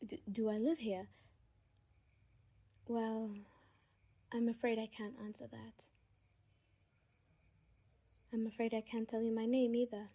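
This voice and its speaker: A woman playing a gentle, sheltered young female vampire in an ASMR roleplay, speaking softly and hesitantly, at times in a breathy whisper. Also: a little high, level tone, takes it slowly, pauses often, a little hiss or hum. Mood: reserved.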